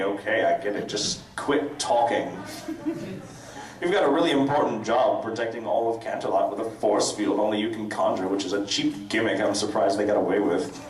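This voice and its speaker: A man's voice: fairly low pitch, close to an everyday voice. A man reading the part of an indignant, sardonic young female unicorn in a comedic live dramatic reading. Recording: echoey convention room, distant mic, crowd. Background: convention audience.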